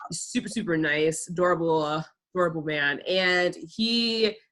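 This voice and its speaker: A woman hosting a casual chat, animated and talking with a lot of "like" fillers. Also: lowish voice, normal speed. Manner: animated, heavy use of "like". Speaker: host.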